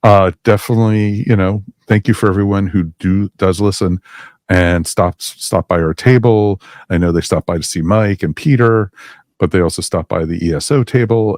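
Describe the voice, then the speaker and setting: Very low-voiced male podcast host. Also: a little mellow, no room sound, studio quality. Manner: rambling, many fillers. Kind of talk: casual podcast chat.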